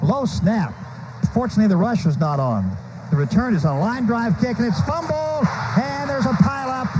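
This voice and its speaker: A high-voiced American man calls football play by play on the radio. His clipped running phrases swell as the play develops. The broadcast is muffled, with clear noise behind him. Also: lively intonation, normal speed. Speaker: play-by-play announcer. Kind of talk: live radio football commentary. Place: stadium broadcast booth.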